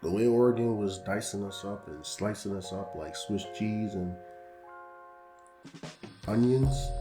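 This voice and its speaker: A young man with a strained, fading voice, giving casual slangy commentary over a football video game and getting frustrated with the plays. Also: fairly low pitch. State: losing his voice. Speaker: gaming YouTuber. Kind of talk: gameplay commentary. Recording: roomy echo with background music.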